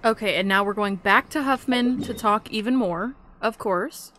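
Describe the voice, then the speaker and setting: A woman's voice: mid-range voice, normal speed, fairly bright tone, soft background music. A woman hosting a gameplay video with casual, chatty commentary. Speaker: gamer, video host.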